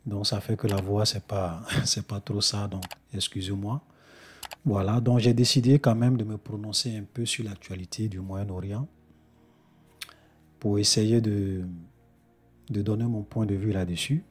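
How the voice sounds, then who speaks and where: A man's voice: lowish voice, steady tone, a little slow, slightly rough. A man with a cold preaching to his brothers and sisters in an earnest spoken sermon, often restarting his phrases. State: congested with a cold.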